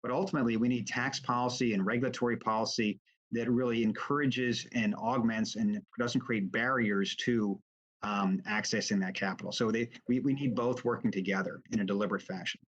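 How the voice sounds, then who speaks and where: A man giving halting, measured, explanatory testimony off the cuff as an expert witness at a formal remote hearing. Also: lowish voice, fairly even intonation, fairly bright tone. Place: remote hearing over video call. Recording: dull, lo-fi video call audio.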